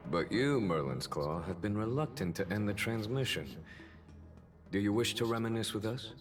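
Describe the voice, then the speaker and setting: Deep, unruffled shrewd general played by an actor. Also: male voice, expressive, music in the background, close to an everyday voice. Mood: composed, formal. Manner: measured, formal, unruffled. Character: calm, shrewd, courteous male general, lightly teasing. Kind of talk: scripted video game drama.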